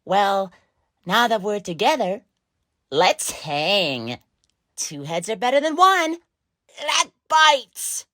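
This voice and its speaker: A woman's voice: medium pitch, very animated, slow, long pauses, a bit bright, no room sound, clean studio sound. A woman voicing a coy, flirty, taunting fox animatronic in a video game, playful and teasing. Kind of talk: video game character voice lines.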